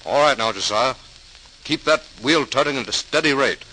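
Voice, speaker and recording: Bright, patient big brother. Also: male voice, medium pitch, normal speed, poor audio. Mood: patient, brisk. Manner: instructing, steady, practical. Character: patient, responsible older brother, potter teaching. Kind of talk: 1940s radio acting. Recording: hissy 1940s radio recording.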